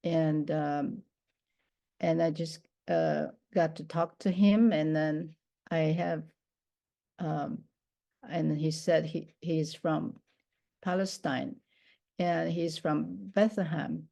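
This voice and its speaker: A middle-aged woman from Taiwan speaks English, her voice low and mellow. She talks slowly and haltingly, full of pauses, fillers and restarts, as she shares a personal testimony. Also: steady tone, creaky. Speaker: minister.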